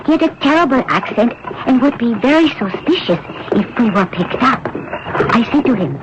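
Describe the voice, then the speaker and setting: An actress playing a compassionate young rescuer of children in a radio drama, telling things expressively. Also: female voice, fairly high pitch, lively intonation, normal speed, background noise, lightly acted. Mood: fond, amused. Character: tender young woman rescuing refugee children. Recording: dull old radio broadcast, music behind.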